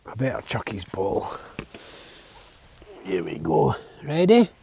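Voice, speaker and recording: A man with a Scottish accent and a husky voice, chatting casually and informally to camera outdoors as a camping vlogger. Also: fairly low pitch, takes it slowly, slight background noise. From Scotland. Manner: relaxed, informal, chatting as he goes. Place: outdoors at a lochside campsite.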